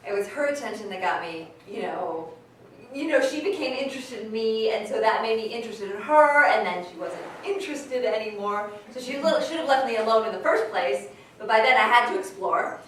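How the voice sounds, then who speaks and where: A woman's voice: on the high side, expressive, brisk, fairly bright tone. A woman playing a chatty, candid mother in her sixties, telling her story conversationally in a staged reading. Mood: chatty, amused. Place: live staged reading in a large room. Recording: echoey, noisy hall from far off.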